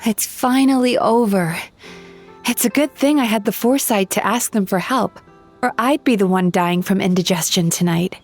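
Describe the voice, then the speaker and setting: A woman voicing a composed, gentle, resolute young woman in a video game, speaking calmly and reassuringly over music. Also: mid-range voice, moderate pace, natural voice. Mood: calm, satisfied.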